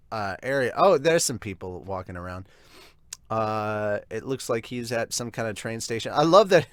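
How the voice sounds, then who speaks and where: A man's voice: mid-range voice, no echo. Male podcast host, casual chatty reaction, dry close mic. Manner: chatty, laughs, restarts. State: hiccups. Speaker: podcast host reviewing manga.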